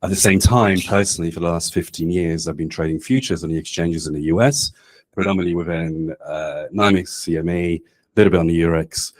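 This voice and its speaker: A man's voice: low-pitched, fairly quick. A man in his forties giving a casual, chatty presentation to an audience in a meeting room, speaking into a handheld microphone and often checking in with "right?". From not Australia. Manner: casual and chatty, with many "um"s and tag questions ("right?"). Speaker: presenter at a community meetup.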